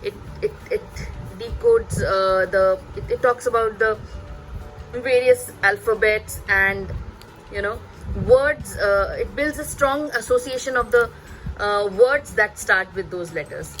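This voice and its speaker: A woman in her thirties, a native Bengali speaker, chatting hesitantly as a parenting vlogger, pausing and repeating words. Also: mid-range voice, a bit bright, faint music behind, poor audio. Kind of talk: casual chatty vlog recommending books.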